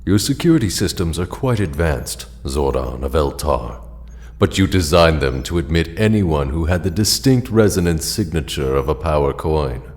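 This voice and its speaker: A man playing a solemn, formal and measured ancient alien mentor, last of his people, in an audio drama. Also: rumbling low, lively intonation, darkish tone. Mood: solemn, commanding.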